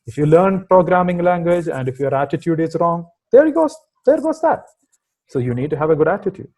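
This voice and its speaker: A man's voice: a little high, sing-song, brisk, darkish tone. A man from India with a mixed Indian and American accent, answering interview questions on a video call with many fillers and emphatic asides. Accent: Indian with some American. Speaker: guest software engineering manager.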